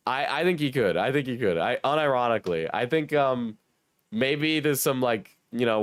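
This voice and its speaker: A hoarse young man with a cold, joking and swearing casually as he reads his chat on a livestream. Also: medium pitch, no echo, clean studio sound. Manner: joking, self-mocking, swears. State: hoarse, sick. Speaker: streamer reading chat.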